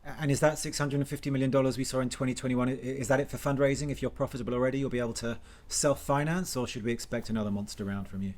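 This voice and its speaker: A male interviewer who fires off his questions at a brisk clip. His pitch stays in the middle range, and his delivery is casual and chatty, with the odd false start. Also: steady tone, darkish tone. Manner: quick, conversational questioning with hesitations. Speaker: interview host. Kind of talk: video interview.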